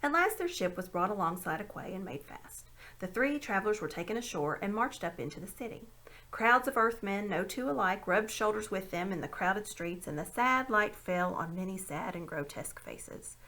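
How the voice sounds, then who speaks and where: A woman narrates a storybook with an animated delivery. Her mid-pitched voice moves freely up and down as she reads each scene. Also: normal speed. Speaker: amateur audiobook reader. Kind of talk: expressive storybook read-aloud narration.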